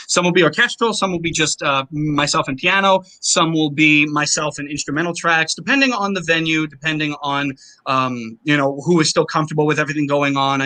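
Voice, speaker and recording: A man's voice: on the high side, sharp tone. A man guesting on an interview show, talking about his concerts in wordy, formal phrasing with playful flourishes. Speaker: opera singer guest. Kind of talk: casual interview chat.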